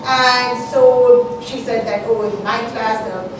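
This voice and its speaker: A woman's voice: on the high side, steady tone, slow. A woman lecturer giving an informal conference presentation in a conversational, explanatory way, often saying "you know". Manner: conversational, explanatory, frequent 'you know'. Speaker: college business lecturer presenting. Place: large echoey room, recorded from afar. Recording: echoey room, distant mic, noisy.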